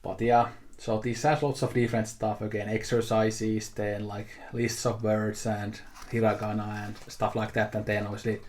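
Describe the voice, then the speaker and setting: Flat-toned young man chatting. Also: lowish voice, normal speed, slight background noise. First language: Finnish. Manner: easygoing, rambling, with frequent fillers and restarts. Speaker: video host showing a haul. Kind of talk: casual unscripted talk to camera.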